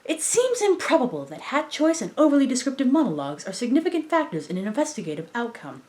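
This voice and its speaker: A woman's voice: high-pitched, very animated, fast talker, darkish tone, pitched above the performer's own voice. A woman playing a practical, mildly exasperated female android partner to a cartoon detective. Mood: skeptical.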